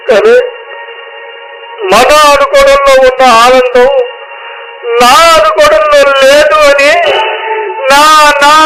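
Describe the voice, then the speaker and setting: Grieving loyal servant guy, really high voice, pleading radio drama style, loud music behind. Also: low-quality recording. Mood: grieving plea. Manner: emotional, pleading, repeating phrases. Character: loyal, grieving, outspoken male household servant. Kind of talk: Telugu radio drama acting.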